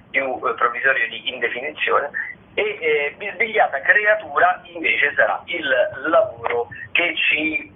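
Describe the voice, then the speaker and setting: A man giving an informal, wordy radio rundown of theatre listings, halting as he searches for words. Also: mid-range voice. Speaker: theatre radio show contributor. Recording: tinny phone line with noise.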